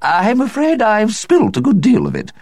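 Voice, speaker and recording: A man's voice: sits high, wildly swooping pitch, moderate pace, a little mellow, no echo, studio quality, pitched above the performer's own voice, full character voice. A man voicing a strained, nervous upper-class woman hosting a tea party.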